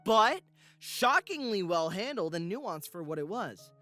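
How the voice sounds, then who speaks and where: Unhurried woman with lively intonation. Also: mid-range voice. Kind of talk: read-out commentary. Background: background music.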